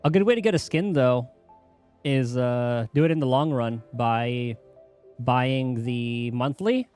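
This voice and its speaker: A man's voice: mid-range voice, takes it slowly, darkish tone, smooth, soft background music. Offhand man streaming a game. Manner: offhand, rambling. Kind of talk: casual gameplay commentary.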